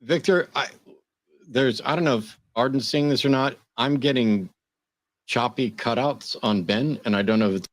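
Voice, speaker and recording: A man's voice: mid-range voice, moderate pace, dry recording, poor audio. A man speaking bluntly and plainly as a guest in a casual live call-in chat.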